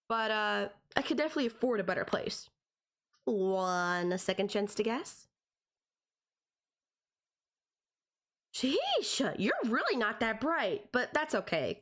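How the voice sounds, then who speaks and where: A woman's voice: medium pitch, a bit bright. A woman playing a possessive, teasing young stalker in an audio roleplay, talking straight to the listener in a conversational, condescending yet affectionate way.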